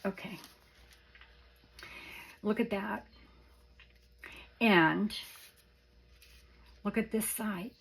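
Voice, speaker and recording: A hoarse woman showing off craft supplies in a casual show-and-tell, gushing softly and often dropping to a whisper. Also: medium pitch, steady tone, extremely slow, pauses often. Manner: soft-spoken, gushing, often drops to a whisper. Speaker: craft vlogger.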